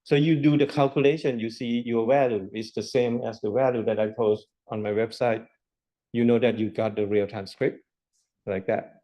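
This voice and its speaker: A man's voice: medium pitch, steady tone, normal speed, dark tone. A man lecturing a university class, explaining step by step with rhetorical questions and repetition. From Thailand.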